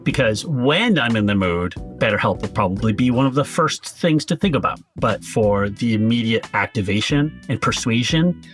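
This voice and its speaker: A man's voice: mid-range voice, lively intonation, moderate pace, hardly any pauses, fairly bright tone, faint music behind. A man speaking as a podcast guest, explaining his industry in a conversational, professional way. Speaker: podcast guest, advertising director.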